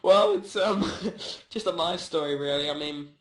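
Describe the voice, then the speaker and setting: Young man, rambling absurd storyteller, slightly high voice, roomy echo. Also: normal speed, fairly bright tone. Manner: rambling, giggly, filler-heavy. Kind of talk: absurd improvised comedy story. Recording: echoey room.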